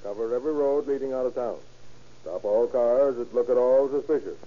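An actor playing an authoritative county sheriff giving clipped orders in a 1930s radio crime drama. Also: male voice, mid-range voice, brisk, close to an everyday voice. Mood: commanding. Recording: muffled old radio recording.

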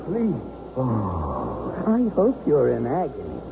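An actor playing an embittered police lieutenant in a radio drama, terse and commanding, with vengeful brooding. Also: male voice, mid-range voice, huge pitch swings, slow, vocal fry, full character voice. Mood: bitter, vengeful. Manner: terse, clipped commands alternating with brooding speech. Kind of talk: 1970s radio drama acting. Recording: muffled, noisy 1970s radio recording.

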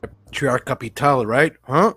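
A man's voice: mid-range voice, measured pace, sharp tone, slight background noise. An actor in a comic radio drama playing a sardonic, officious New York policeman, mixing languages. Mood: sly, mocking. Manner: mixes English into Spanish, sardonic.

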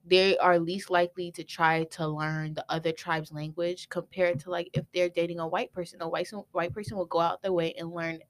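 A woman's voice: lowish voice, flat delivery, normal speed, few pauses, low-quality recording. A young woman from Africa, a guest in a casual podcast discussion, who earnestly explains her view with examples.

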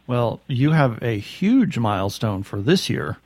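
A man's voice: mid-range voice, very animated, measured pace, darkish tone, no echo. A man co-hosting a casual interview podcast in an enthusiastic, exclamatory way. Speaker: podcast co-host.

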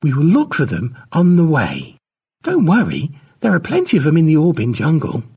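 Man putting on a high-pitched, protective clan woman. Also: wildly swooping pitch, moderate pace, a little mellow, breathy edge, full character voice. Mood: reassuring.

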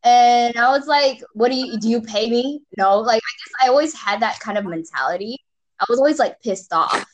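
Brief: young woman, American accent with Singaporean traces, slightly high pitch, expressive, quick to laugh and swear. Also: poor audio. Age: young adult. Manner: lively, expressive, laughs often, swears casually. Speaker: podcast guest. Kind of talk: casual chat.

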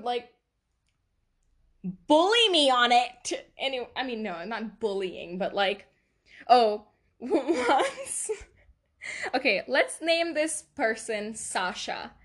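A woman with an accent talks through a casual solo podcast, her voice sitting fairly high. She takes her time, wandering between thoughts and breaking into laughter at her own stories. The tone is loose and self-mocking. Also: a bit bright. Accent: non-native accent. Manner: rambling, self-deprecating, laughs often. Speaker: podcast host.

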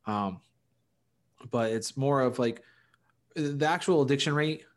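A man's voice: medium pitch, a little slow. A man in casual, opinionated podcast conversation, talking emphatically and rambling through his explanations as a co-host.

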